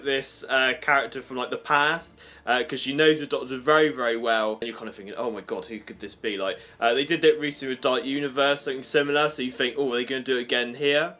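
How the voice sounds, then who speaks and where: A man's voice: on the high side, pushed and bright. A man giving a casual, chatty and enthusiastic review to his online viewers. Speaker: YouTube reviewer and host. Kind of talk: casual review of an audio drama, spoken to viewers. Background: music under the voice.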